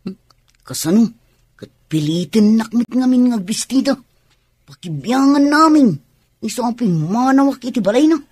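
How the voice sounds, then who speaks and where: A woman's voice: on the high side, animated, moderate pace, darkish tone, breathy edge, dry recording. A woman playing a reluctant, polite young niece in a radio drama, hesitant and deferential.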